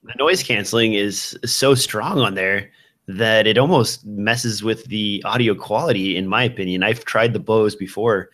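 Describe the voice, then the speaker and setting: A man in his thirties with a fairly low voice hosts a podcast. He talks casually about tech gear, speaking closely into a studio microphone. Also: a little slow, a bit bright. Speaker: podcast host. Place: small indoor room with shelves of gear. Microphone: broadcast microphone on a boom, close up, with headphones.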